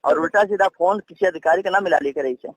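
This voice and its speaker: A man's voice: sits high, quick pace, breathy edge, dry recording. A middle-aged man, a senior police official arguing on a phone call, scolding, interrupting and repeating his words as he stumbles. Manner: stammering repetitions, scolding, interrupting. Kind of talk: heated phone argument. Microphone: telephone call.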